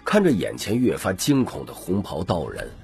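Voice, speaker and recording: A man's voice: low voice, huge pitch swings, moderate pace, a little mellow, soft background music. A man reading dramatic scripted narration as an audiobook narrator.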